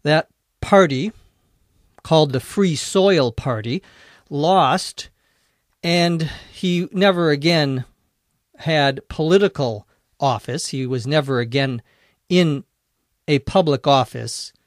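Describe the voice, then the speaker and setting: A man hosting an English-learning podcast, speaking slowly and deliberately, spelling out words letter by letter and slipping in dry jokes. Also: a little high, no room sound. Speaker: English teacher and podcast host. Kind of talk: slow, clear ESL podcast explanation.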